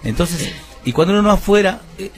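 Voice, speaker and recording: A man's voice: high-pitched, animated, brisk. A man from Peru with a Peruvian Spanish accent, a musician and band founder, giving an earnest radio interview. He tells his story at length with many fillers and restarts.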